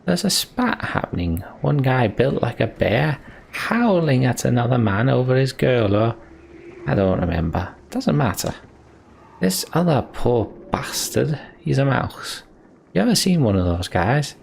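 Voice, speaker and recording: A man's voice: medium pitch, very animated, unhurried, gravelly, darkish tone, slight background noise. A man voicing a boastful, rambling old minstrel from a video game, telling tales in colloquial speech. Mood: animated storytelling. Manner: colloquial, storytelling, swooping emphasis. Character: rambling, boastful old male minstrel, cheerfully world-weary. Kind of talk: reading video game dialogue in character.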